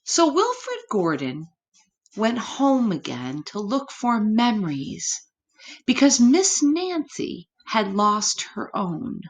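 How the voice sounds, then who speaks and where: A woman's voice: mid-range voice, huge pitch swings. A woman reading a picture book aloud to children expressively, speaking directly to her young listeners. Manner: pauses to address young listeners. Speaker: storytime reader.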